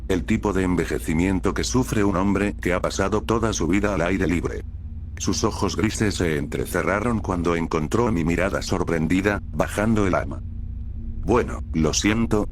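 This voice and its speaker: A man with a low voice narrates a scripted horror tale in the first person. Faint ambient noise sits behind the narration.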